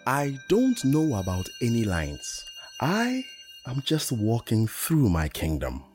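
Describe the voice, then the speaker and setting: A man playing a dreamy wanderer of his own kingdom, reciting deliberately and poetically in a radio play. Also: medium pitch, huge pitch swings, a little mellow, faint music behind. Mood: dreamy, incantatory. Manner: incantatory, deliberate, repeating phrases. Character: unhurried dreamy male wanderer who calls the land his kingdom.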